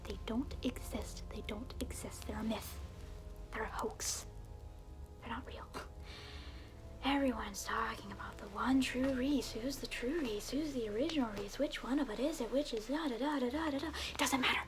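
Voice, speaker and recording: A woman's voice: on the high side, normal speed, close to an everyday voice, poor audio. A woman whispering in ASMR roleplay as a smug evil alter ego who rules over hell, teasing and gloating at the listener. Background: clear music.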